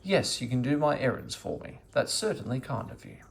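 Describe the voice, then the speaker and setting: A man's voice: medium pitch, sing-song, a little fast, creaky. A man voicing a grumbling, bossy old woman in a children's storybook. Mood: brisk, matter-of-fact. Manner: querulous, bossy complaining. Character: grumbling, scolding old woman with a sore foot. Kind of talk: children's storybook read-aloud.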